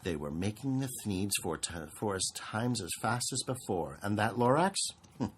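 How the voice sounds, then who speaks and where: A man reading a rhyming storybook aloud with expressive narration. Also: fairly low pitch, moderate pace, slight background noise. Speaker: storybook reader.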